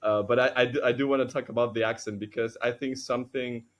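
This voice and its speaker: A man from Chile, a native Spanish speaker, telling a story on a podcast in a halting way with many restarts and fillers. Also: medium pitch, steady tone, a little hiss or hum. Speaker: podcast co-host. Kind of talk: casual storytelling.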